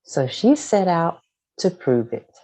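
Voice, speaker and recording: A woman reads a children's storybook in a low, mellow voice. She takes her time, phrasing each line deliberately and expressively for young listeners, with plenty of rise and fall in her pitch. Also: very animated, poor audio. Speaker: storybook reader. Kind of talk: scripted children's story read-aloud.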